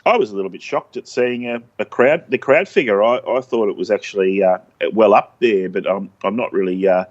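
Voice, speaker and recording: A middle-aged man co-hosting a casual sports podcast, talking haltingly with many restarts. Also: lowish voice, muffled recording. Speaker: podcast co-host.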